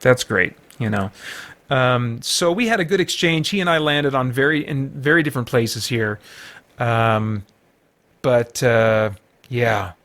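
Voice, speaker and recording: A man's voice: mid-range voice, animated, measured pace, fairly bright tone. A middle-aged man giving a casual, opinionated film review, rambling informally as he thinks aloud. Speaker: reviewer and show host.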